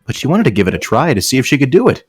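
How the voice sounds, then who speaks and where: A man's voice: medium pitch, very animated, very fast, breathy edge, no echo. A man doing a casual read-aloud for friends, breaking off into joking, sarcastic asides. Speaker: host reading fan fiction aloud with friends.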